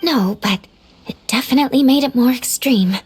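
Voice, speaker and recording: A woman voicing a composed young female healer in a video game, speaking in a measured, clinical way. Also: high-pitched, a little mellow, a little hiss or hum, natural voice. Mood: grave, analytical. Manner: measured, matter-of-fact, explanatory.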